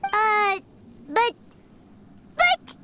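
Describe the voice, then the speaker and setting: A woman voicing a tiny, naive, whiny fairy companion in a video game, with a squeaky childlike voice. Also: very animated, very slow, heavy background noise, heavily acted. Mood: flustered. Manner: hesitant, speaks of herself in third person. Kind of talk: English video game voice acting.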